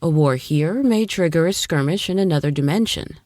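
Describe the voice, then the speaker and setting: A woman playing a patient, knowing warrior angel in an audio drama, speaking in a measured, formal way. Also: deep voice, lively intonation, darkish tone, no room sound, studio quality. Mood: solemn.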